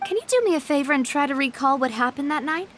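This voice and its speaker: A woman's voice: sits high, animated, fast talker, fairly bright tone, slight background noise. An actress playing a determined, kind young female boss, composed and articulate, in a video game cutscene. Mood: composed, serious.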